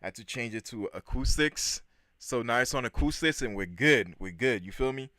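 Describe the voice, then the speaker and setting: A man hosting a casual livestream, reacting to clips and greeting his chat in an animated way. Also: mid-range voice, normal speed. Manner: animated, talks back at clips. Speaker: livestream host. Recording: echoey room with long reverb.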